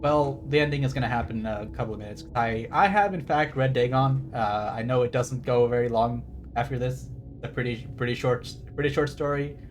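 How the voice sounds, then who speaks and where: A man's voice: mid-range voice, a little fast, a little hiss or hum. A man giving casual livestream game commentary, digressive and wry as he explains trivia. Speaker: game streamer.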